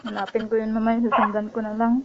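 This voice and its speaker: A woman's voice: fairly high pitch, completely flat delivery, quick pace. A woman with a Filipino accent chatting casually in a polite, worried, pleading way. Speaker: vlogger making a prank phone call. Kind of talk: casual phone chat, worried-sounding pleading. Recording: dull lo-fi audio with faint noise.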